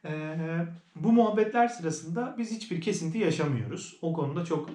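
A man's voice: on the high side, animated, brisk, dark tone. A man giving a casual, conversational product review as a vlogger, explaining things as he goes. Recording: roomy indoor echo.

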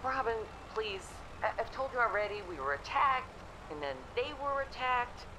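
A woman's voice: fairly high pitch, lively intonation, moderate pace, noisy background, natural voice. A woman playing a shaken, apologetic woman on the run in an audio drama. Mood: distressed.

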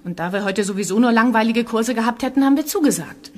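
An actress playing an earnest young acting student who explains things eagerly in a radio play. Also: female voice, on the high side, fast talker, lightly acted.

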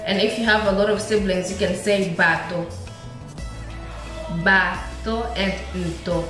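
A young woman, a native Ebembe speaker, teaches a casual online lesson in quick, friendly explanations and drills each word by repeating it. Her voice rings around a very echoey small room. Clear music plays behind her. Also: mid-range voice, fairly even intonation. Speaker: language teacher.

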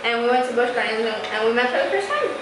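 Animated Puerto Rican-born woman, echoey room. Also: fairly high pitch, very fast. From Puerto Rico, raised in Massachusetts and Florida. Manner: animated storyteller, laughing along. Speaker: guest beauty vlogger. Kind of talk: casual chat while doing makeup. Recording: echoey room with steady noise.

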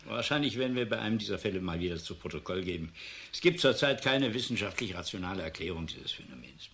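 An actor playing a disillusioned, weary professor in a radio drama, musing and sardonic. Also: male voice, deep voice, animated, fairly bright tone. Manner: musing, sardonic, halting and emphatic by turns. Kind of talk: German radio drama acting.

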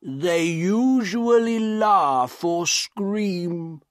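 A painfully slow, gentle deformed man. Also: sits high, no room sound, studio quality, pitched above the performer's own voice. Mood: sad, resigned. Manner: very slow, halting, laboured speech. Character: gentle, sad, deformed young man, humble and grateful. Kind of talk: audiobook character dialogue.

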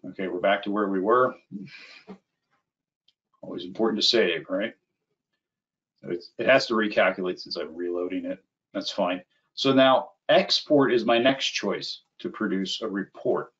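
Low-voiced middle-aged man presenting a demo. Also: fairly bright tone. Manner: conversational, unhurried step-by-step explaining. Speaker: webinar presenter, applications engineer. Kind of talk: live software demo, explanatory. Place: small indoor room at a computer. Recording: slightly dull, band-limited webinar audio.

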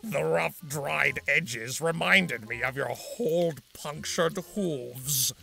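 A woman's voice: low-pitched, extremely slow, vocal fry, bright, forceful tone, soft background music, voice pushed down, exaggerated voice. A woman voicing a lovestruck male centaur villain, gushing and flirting in a sweetly smitten drawl in a story reading. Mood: lovestruck, flirty. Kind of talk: dramatic fan fiction reading.

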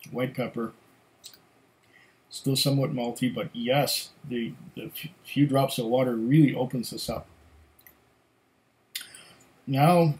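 A middle-aged man whose first language was German, giving casual whisky tasting notes at home, musing and pausing between sips, recorded on a webcam at desk distance. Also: mid-range voice, a little mellow. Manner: slow, musing, pauses to sniff and sip. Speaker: whisky reviewer. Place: home room with shelves of bottles.

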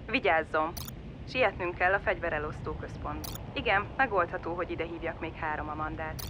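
A woman's voice: on the low side, lightly acted. An actress playing a servile female android park attendant in a sci-fi audio drama, courteous and formulaic like customer service. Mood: polite, businesslike. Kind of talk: science fiction audio drama acting. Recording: muffled lo-fi radio relay with noise.

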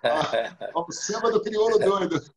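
A Brazilian man hosts a talk show over a webcam, spinning anecdotes and acting out what others said. His voice sits somewhat high for a man. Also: a little hiss or hum. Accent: Brazilian Portuguese. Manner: storytelling, lively quoting of others. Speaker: host. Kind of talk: casual talk show chat. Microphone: video call webcam.

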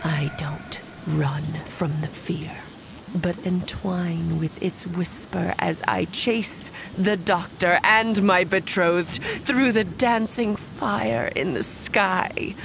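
A woman playing the undead young Bride of Frankenstein in a gothic horror audio drama, solemn, hushed and breathy in a poetic monologue. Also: fairly low pitch, background noise. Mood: eerie reverence. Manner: breathy, hushed, incantatory, deliberate.

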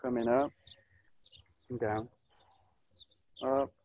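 A man in his thirties teaching an online aikido class, giving calm, short coaching cues outdoors in a grassy orchard, recorded on a camera mic as he moves nearer and farther. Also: medium pitch, drawn-out words, dull audio, a little hiss or hum. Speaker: aikido instructor. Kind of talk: live online class instruction. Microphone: camera mic, distance varies as he moves.

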